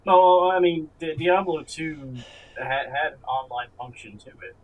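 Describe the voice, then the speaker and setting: A man co-hosting a podcast casually, halting as he goes and often repeating words in a stammer. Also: on the high side, low-quality recording. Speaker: podcast co-host. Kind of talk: casual conversation.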